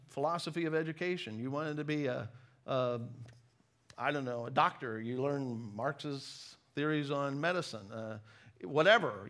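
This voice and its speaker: American man preaching in a higher-pitched voice. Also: fairly bright tone. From United States. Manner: conversational, emphatic with deliberate pauses, self-deprecating. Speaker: preacher, former missionary. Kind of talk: sermon with personal storytelling.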